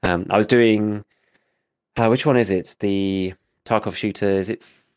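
Slightly gravelly low-voiced man. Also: fairly even intonation, measured pace. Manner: rambling, full of "like" fillers. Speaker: podcast co-host, gaming YouTuber. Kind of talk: casual chat.

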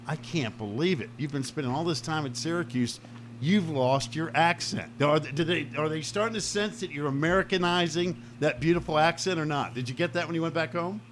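Man, sports talk host, slightly high voice, effusive and rambling. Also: a little hiss or hum. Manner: effusive, animated, rambling questions. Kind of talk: enthusiastic interview questions.

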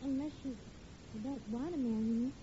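A woman's voice: high voice, fairly quick, mellow, close to an everyday voice. A woman playing a sweet, determined young American sweetheart, earnest and coaxing, in old radio comedy acting. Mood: pleading. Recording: muffled, noisy vintage radio recording.

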